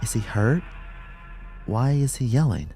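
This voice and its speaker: A man voicing a composed young female police officer in an audiobook, with a gentle manner. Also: medium pitch, very animated, a little slow, husky, soft background music, pitched above the performer's own voice, heavily acted. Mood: concerned.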